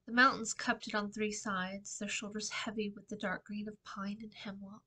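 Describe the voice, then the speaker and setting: Bright-voiced woman reading a story aloud. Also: medium pitch, little pitch movement, moderate pace. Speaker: author reading from own novel. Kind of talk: expressive storytime book reading. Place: small indoor room with shelving.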